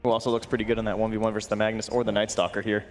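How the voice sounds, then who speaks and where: A man casting an esports draft, analytical and quick to laugh. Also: fairly low pitch, completely flat delivery, soft background music. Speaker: esports caster. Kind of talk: casual draft commentary.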